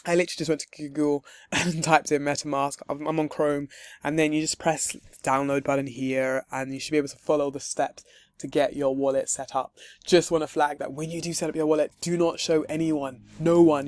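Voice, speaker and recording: A man with a hoarse, husky voice from losing it, giving a chatty, upbeat screen-share walkthrough of a crypto setup. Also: a little high, darkish tone, no echo. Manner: chatty and upbeat, with light laughs and frequent um's. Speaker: crypto tutorial creator.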